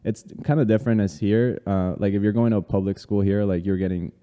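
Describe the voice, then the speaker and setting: A man in his thirties from Colombia, a guest telling his life story in a relaxed way, with many fillers. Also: fairly low pitch, fast talker, smooth, in a large hall, dull audio. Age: 30s. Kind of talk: casual interview conversation.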